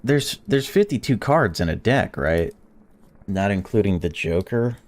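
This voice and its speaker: Deep-voiced young man playing a horror game. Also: measured pace. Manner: swears freely, jumps between excited outbursts and flat reading. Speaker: gaming YouTuber. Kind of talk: let's play commentary and reading aloud.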